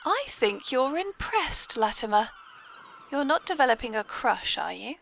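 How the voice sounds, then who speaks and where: Genteel, mischievous British hostess, played by a woman, formal and prim, fairly high register, muffled lo-fi podcast sound. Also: very animated, a little slow, creaky, a bit bright, slight background noise, heavily acted. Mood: arch, amused. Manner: prim, formal, arch, polite. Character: genteel, mischievous lady mastermind with secret lair. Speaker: podcast host. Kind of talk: scripted comic audio drama. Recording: dull lo-fi podcast recording.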